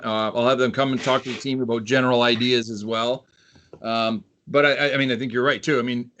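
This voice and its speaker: A man with a Canadian accent, a head coach and guest, talking on a video call in a halting way with many repeated starts. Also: medium pitch, a bit bright. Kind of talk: casual chat on a video call.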